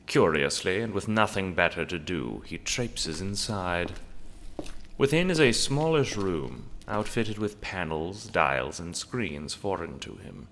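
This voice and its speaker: A male storyteller delivers scripted drama narration with theatrical deliberation. His gravelly voice has a forceful edge and wide, animated intonation, and it rings in a long hall-like reverb. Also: sits low.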